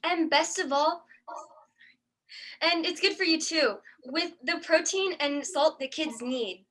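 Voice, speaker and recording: A woman playing an eager, wholesome grandchild in a mock old-time radio advert, perky and deliberate. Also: high-pitched, fairly bright tone, rough recording. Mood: eager, cheery.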